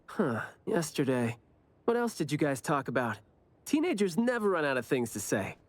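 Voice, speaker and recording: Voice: mid-range voice, sing-song, normal speed, dry recording, close to an everyday voice. A performer voicing a laid-back, chatty young investigator in an anime game, with a casual, teasing manner. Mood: casual, musing.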